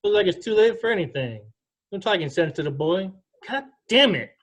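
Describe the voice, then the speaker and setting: A man plays a stern country father with a short temper in a stage drama. The delivery is animated, rising and falling widely. It is an amateur read on a video call. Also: sits high, brisk. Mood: angry, scolding. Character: Tom, stern hot-tempered middle-aged country father. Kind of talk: amateur play table read.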